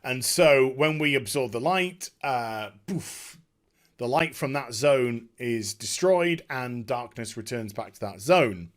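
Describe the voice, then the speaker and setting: A man hosting a gaming video, giving a casual, unscripted recap in a halting, digressive way and often correcting himself. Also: medium pitch, lively intonation.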